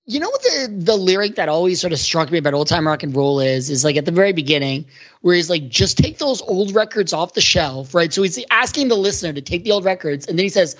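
A woman's voice: fairly low pitch, lively intonation, fast, highs cut off. A woman on a podcast panel, talking through ideas in casual analytical conversation.

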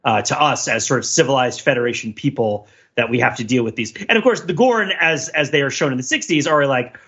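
A man co-hosting a casual pop culture discussion, talking in a halting, digressive way and often correcting himself. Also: medium pitch, a bit bright, husky, dull audio. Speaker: podcast co-host.

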